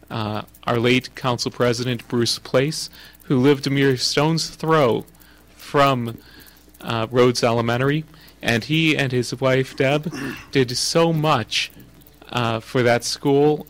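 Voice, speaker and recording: A man chairing a city council meeting, formal and measured, with frequent pauses. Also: mid-range voice, fairly bright tone, no echo. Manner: formal, measured, many uh fillers.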